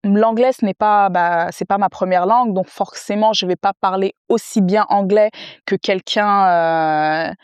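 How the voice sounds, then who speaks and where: A woman from France, a mother, speaks as a podcast guest, hesitant and thoughtful, filling her pauses. Her voice is smooth and clear, recorded close and dry. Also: medium pitch, steady tone, no room sound. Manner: thoughtful, hesitant with many fillers. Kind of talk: casual interview chat.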